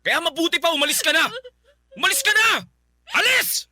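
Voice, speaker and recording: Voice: very high-pitched, expressive, brisk, bright, forceful tone, no room sound, studio quality, exaggerated voice. A performer playing an exasperated, career-minded young man, a fed-up ex-boyfriend, in a Filipino radio drama with impatient delivery. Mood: angry. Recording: faint music behind the voice.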